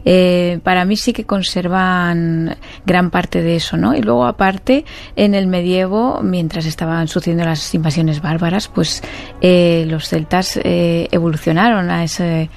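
A woman novelist from Madrid answers radio interview questions in a low, gravelly voice. She hesitates often, fills pauses and laughs easily. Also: fairly even intonation, a little mellow. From Madrid, Spain. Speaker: novelist guest. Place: radio studio.

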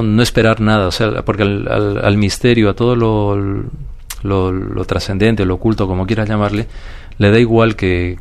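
Calm low-voiced Peruvian man. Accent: Peruvian Spanish. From Peru. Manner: calm, thoughtful, many restarts and "¿sabes?". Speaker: guest, paranormal investigator. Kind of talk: reflective interview talk.

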